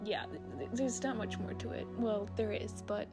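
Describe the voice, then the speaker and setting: Young woman, creaky voice, casual rambling voice-over, self-deprecating, clear music behind. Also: medium pitch, expressive, normal speed. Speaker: video creator and artist. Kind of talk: casual chatty voice-over commentary. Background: background music.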